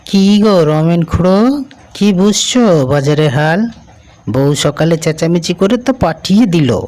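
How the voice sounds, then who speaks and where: A man's voice: on the high side, lively intonation, unhurried, a little mellow, voice pushed up. A man voicing a lazy, easily frightened village banana seller in a cartoon story, in an exclaiming manner. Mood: casual, cheerful.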